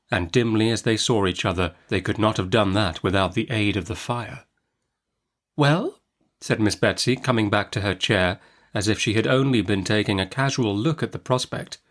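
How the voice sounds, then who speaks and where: A man narrating a Victorian novel in an expressive literary style, measured and articulate, as a storyteller would. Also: lowish voice. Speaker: audiobook narrator.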